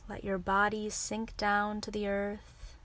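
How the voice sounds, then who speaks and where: A woman leading a guided relaxation meditation as a yoga teacher, giving soothing, gentle instructions in an unhurried way. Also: mid-range voice, monotone.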